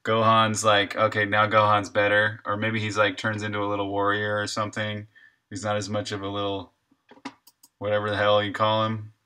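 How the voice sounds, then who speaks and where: A man's voice: on the low side, completely flat delivery, moderate pace, bright, forceful tone, clear, resonant tone. An American man in his thirties chatting casually and in a laid-back, slangy way while live-streaming his game development work. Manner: laid-back slangy talk, chuckles easily.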